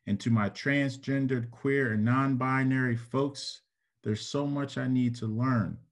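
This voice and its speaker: Male poet, mid-range voice, earnest and deliberate spoken word delivery. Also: mid-range voice, fairly even intonation. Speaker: poet, educator and counselor. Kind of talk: spoken word poetry recital.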